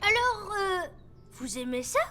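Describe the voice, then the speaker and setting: A woman's voice: very high voice, very animated, unhurried, heavy background noise, full character voice. A woman voicing an eager, plucky schoolboy in a French cartoon, exclamatory and earnest. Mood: excited, confident. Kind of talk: French animated series dubbing.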